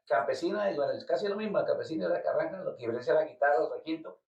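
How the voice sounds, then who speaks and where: A man from Colombia talks through an interview in a halting, repetitive way, circling back on his words. His voice has a gravelly edge. He sits well back from the mic in an echoey room, and the sound is dull and lo-fi. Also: a little high, animated, darkish tone. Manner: halting, repeats words while searching for them. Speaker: guest musician. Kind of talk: casual interview. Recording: roomy, distant, dull lo-fi sound.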